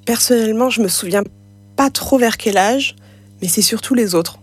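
A woman whose first language is French Sign Language, speaking as an interview guest, pausing often with fillers and restarts. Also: a little high, husky. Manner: hesitant, many fillers and restarts. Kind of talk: casual conversational interview.

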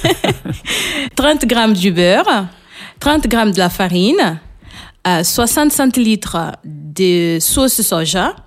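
A woman's voice: mid-range voice, big pitch swings. Woman, Portuguese accent, native Portuguese speaker, guest cook on the radio, hesitant, many fillers. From São Tomé and Príncipe, raised in Portugal. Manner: hesitant, frequent pauses with fillers. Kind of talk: radio interview.

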